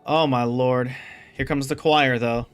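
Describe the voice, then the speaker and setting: A woman's voice: rumbling low, a little slow, soft background music. A woman streaming a game, reacting casually with jokes and slang. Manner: joking, excitable, slangy, talks back to the game. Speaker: gaming streamer. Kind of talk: casual gameplay commentary.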